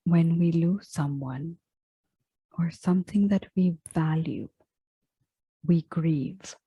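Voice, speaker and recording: A woman guides a meditation with a very dark, mellow voice. Her delivery is very slow and hushed, with long pauses between gentle phrases. The tone is breathy and soft, like a script read with care. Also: low voice, no echo. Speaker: meditation guide and yoga teacher.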